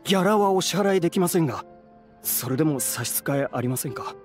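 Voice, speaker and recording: A man's voice: on the high side, expressive, fast talker, soft background music, natural voice. A man voicing a polite, earnest, downcast young theatre performer in a game, with a deferential manner. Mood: hesitant. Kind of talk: Japanese game voice acting.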